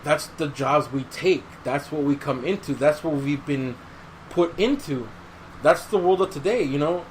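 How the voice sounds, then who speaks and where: Higher-pitched man chatting, noisy recording. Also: measured pace. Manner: profane, slangy, opinionated. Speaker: podcast host. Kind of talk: casual chat.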